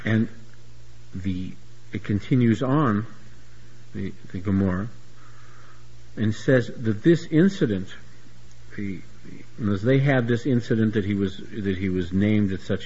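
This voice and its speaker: Husky guy teaching talmud, style words, keeps restarting sentences, kinda muffled recording. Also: on the low side, measured pace, pauses often, darkish tone. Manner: searching restarts, repeats words while explaining. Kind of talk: Talmud lecture.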